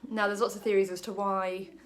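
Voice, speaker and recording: Woman from wales chatting through a bible reading, lots of ums, jokey, middle pitched voice. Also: flat delivery, brisk. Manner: chatty, many ums, self-deprecating jokes. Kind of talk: casual Bible study talk to camera.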